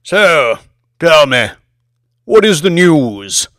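An ancient, towering English lord for an audiobook. He is imperious and excitable, lifting his voice in theatrical swoops and stretching out his exclamations. The sound is close and dry. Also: a little high, dry recording, pitched above the performer's own voice, exaggerated voice. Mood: brisk, pronouncing. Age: 169 years old. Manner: theatrical, drawn-out, exclamatory delivery. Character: imperious, excitable 169-year-old English lord, tall, grandiose. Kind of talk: audiobook character acting.

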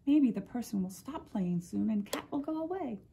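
Woman putting on a tiny boy mouse, high, pleading, storybook reading. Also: animated, moderate pace, creaky, mellow, a little hiss or hum. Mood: hopeful. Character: small eager young boy mouse.

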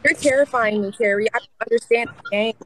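A young woman with a fairly high voice banters as a livestream guest. She is blunt and teasing, and she swears freely as she spars. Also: quick pace, a little hiss or hum. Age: young adult. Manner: blunt, teasing, foul-mouthed. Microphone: phone at arm's length.

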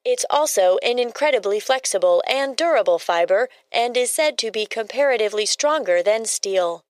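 A woman's voice: fairly high pitch, animated, normal speed, dry recording, clean studio sound. A woman reading scripted facts as a co-host, in a matter-of-fact manner. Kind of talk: scripted informational narration.

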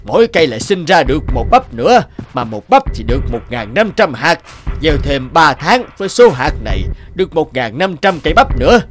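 A man voicing a blustering, greedy rich landlord in an animated folktale. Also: on the high side, a little fast. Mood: scheming, indignant. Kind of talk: Vietnamese animated folktale dialogue. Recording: music behind the voice.

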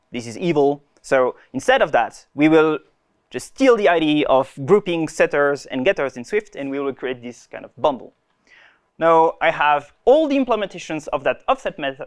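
A young man presenting a technical talk at a conference, pausing and restarting often as he explains. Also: medium pitch. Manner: hesitant, many restarts and fillers. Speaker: conference speaker. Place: conference room.

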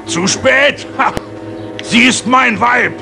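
An actor playing a brutish, lecherous, gloating villain with a raspy voice in a 1980s German radio drama. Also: male voice, extremely high pitch, takes it slowly, music in the background.

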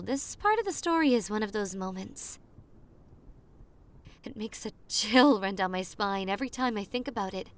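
A woman's voice: a little high, fairly bright tone, full character voice. A woman playing a popular girl telling ghost stories to her secret crush in a teasing, suspenseful ASMR roleplay. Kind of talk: roleplay ASMR, hushed dramatic storytelling.

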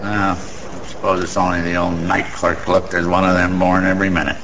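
Gravelly, boozy small-time gambler Erie. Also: male voice, deep voice, little pitch movement, fairly quick, a bit bright, poor audio. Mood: chummy. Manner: wisecracking, rambling, slangy. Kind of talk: radio drama monologue in old-fashioned street slang. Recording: faint background noise.

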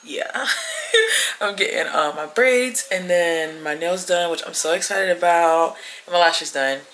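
A woman's voice: lowish voice, measured pace, fairly bright tone, slight background noise, rough recording. A woman in her twenties chatting informally to camera in a casual vlog style. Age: 20s. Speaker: vlogger.

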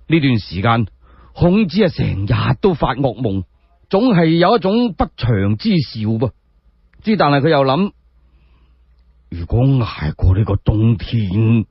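A man with a Cantonese accent telling a story solo, in an expressive, dramatic scripted narration. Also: medium pitch, breathy edge, no echo. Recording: dry, dull lo-fi audio.

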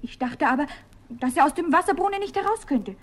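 Casting: fretful storybook princess, very high girlish voice, spoiled, plaintive. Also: lively intonation, brisk. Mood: anxious. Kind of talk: fairy-tale radio play acting.

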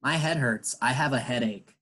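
A man's voice: medium pitch, moderate pace, bright, forceful tone, breathy edge. A man teaching an online English class, patiently explaining in English and Spanish.

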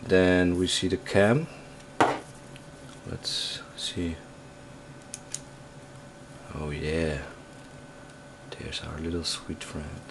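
A man's voice: low-pitched, fairly even intonation, drawn-out words, long pauses, very noisy. A man with a Dutch accent giving casual unboxing commentary, thinking aloud in a hesitant way. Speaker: tech reviewer. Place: indoors at a desk in a room.

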